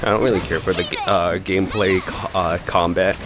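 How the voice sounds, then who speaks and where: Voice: low-pitched, fairly even intonation, fairly quick, music in the background. A streamer reacting casually to a game, playful, breaking into singsong noises and little sound effects. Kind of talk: casual live reaction chat.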